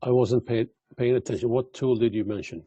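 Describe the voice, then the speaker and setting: A man talking casually as a participant in an online work meeting, his voice gravelly and husky. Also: medium pitch, brisk, mellow, no room sound, muffled recording. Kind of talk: casual work call talk. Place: online conference call.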